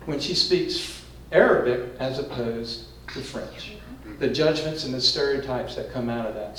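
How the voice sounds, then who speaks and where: A man's voice: a little high, slight background noise. An older man hosting an event, introducing the panel in a reflective, humble, good-humored way. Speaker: event host. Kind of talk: introductory talk to an audience. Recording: echoey room, distant mic.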